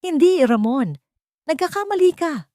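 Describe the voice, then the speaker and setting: A woman narrator acting a scheming wife in a romantic audio story. She is deceitful and defensive, pleading yet defiant. The voice sits higher, in a very dry recording. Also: sing-song, a little slow, mellow, dry recording. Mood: desperate denial.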